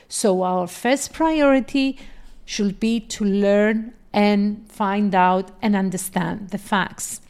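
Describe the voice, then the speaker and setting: A woman with a Greek accent, a native Greek speaker, giving an instructional solo podcast talk in a deliberate, explanatory manner. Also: medium pitch, a little mellow. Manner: deliberate, explanatory, poses questions then answers them. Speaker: podcast host and coach.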